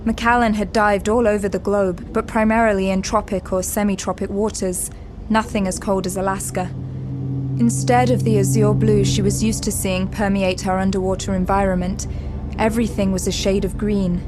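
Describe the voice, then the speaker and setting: Female narrator, scripted drama storytelling, measured delivery, medium pitch, over a clear music bed.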